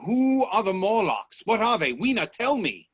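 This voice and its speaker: A man playing a bold, inquisitive gentleman explorer in a radio play, speaking in deliberate, theatrical statements. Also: high voice, very animated, breathy edge, no room sound, studio quality, heavily acted. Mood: urgent. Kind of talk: radio play dialogue acting. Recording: faint noise on video-call audio.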